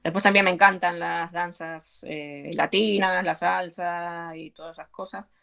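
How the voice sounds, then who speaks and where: Woman, Argentine accent, lowish pitch, earnest, encouraging, interview guest. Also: fairly even intonation, a little slow, gravelly, a bit bright. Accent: Argentine Spanish. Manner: earnest, encouraging, with frequent fillers. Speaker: belly dance teacher, guest. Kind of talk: conversational interview.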